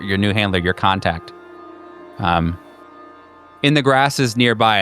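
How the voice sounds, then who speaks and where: A man working as a game master, improvising descriptive narration in a halting, conversational way. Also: lowish voice, fairly bright tone.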